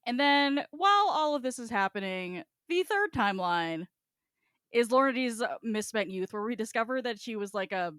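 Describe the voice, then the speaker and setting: A woman's voice: on the high side, animated, normal speed, fairly bright tone, smooth, dry recording, clean studio sound. A woman co-hosting a podcast in casual chat, with an irreverent, slangy, joking way of talking. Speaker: podcast co-host.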